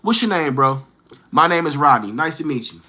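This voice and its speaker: A man's voice: fairly high pitch, expressive, a little fast, pushed and bright. A man livestreaming, bantering with and teasing his chat in slangy street talk, firing challenging questions.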